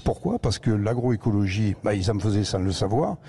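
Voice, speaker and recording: A man's voice: on the low side, lively intonation, a little fast, mellow, husky. A man with a Lozère accent, an agricultural educator telling rambling anecdotes as a guest in a live radio interview broadcast from a museum. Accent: Lozère, southern France.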